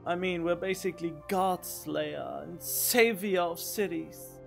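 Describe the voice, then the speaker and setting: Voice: mid-range voice, unhurried, gravelly, a bit bright. Casual game streamer, faint music behind. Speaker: streamer, let's player. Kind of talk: casual gameplay commentary. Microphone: studio condenser microphone.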